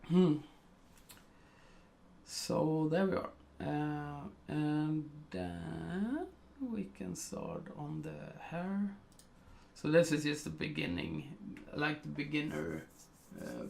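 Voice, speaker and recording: A man from Sweden with a Swedish accent, talking through a live digital painting tutorial in slow, hesitant narration full of fillers. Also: a little high, expressive, gravelly, darkish tone, slight background noise.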